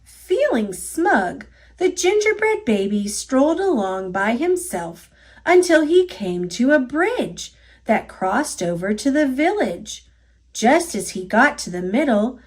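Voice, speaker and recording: A woman with an American accent reading a children's story aloud in an expressive, deliberate manner. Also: sits high. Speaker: storybook reader.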